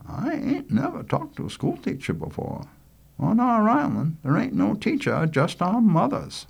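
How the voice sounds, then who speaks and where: A man's voice: sits high, very animated, unhurried, creaky, slightly rough, pitched above the performer's own voice, exaggerated voice. A man voicing an eager, earnest island boy, polite and outspoken, with folksy rustic speech in a storybook reading.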